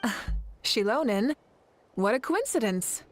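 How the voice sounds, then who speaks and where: A woman playing a composed, confident female leader in a video game, speaking in a measured, self-assured and gently teasing way. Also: fairly high pitch, sing-song, slight background noise. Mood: matter-of-fact. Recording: soft game music behind the voice.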